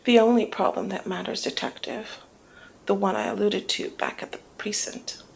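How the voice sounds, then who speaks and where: Woman performing a female mob boss, seductive and calculating, ASMR roleplay, strong gravelly fry, mid-pitched, teasing, deliberate, half-whispered. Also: fairly even intonation, natural voice, rough recording. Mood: teasing, confiding. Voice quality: breathy creaky murmur, often dropping to whisper. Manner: teasing, deliberate, drawn-out phrasing. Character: flirty, cunning female mob boss, coolly persuasive. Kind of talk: ASMR roleplay, intimate scripted monologue.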